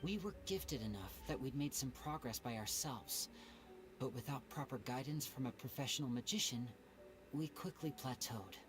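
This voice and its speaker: Young magician, a man voicing him, smooth and soft-spoken, middle-range voice, thoughtful delivery. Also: medium pitch, animated, moderate pace, music in the background, lightly acted. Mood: confiding. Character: young male stage magician, suave and reflective. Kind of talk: video game voice acting.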